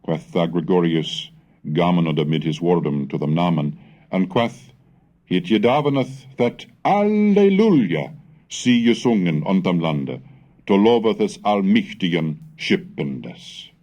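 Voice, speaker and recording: A man giving a formal, measured scripted reading, declamatory, in the style of an educational recitation of old texts. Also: low-pitched, expressive, darkish tone, highs cut off. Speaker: reader and narrator of a language history recording.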